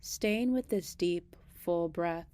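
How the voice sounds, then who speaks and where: A woman guiding a meditation in a gentle, unhurried manner, leaving long pauses between short phrases. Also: lowish voice, clear, resonant tone. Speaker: meditation guide. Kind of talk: guided body scan meditation.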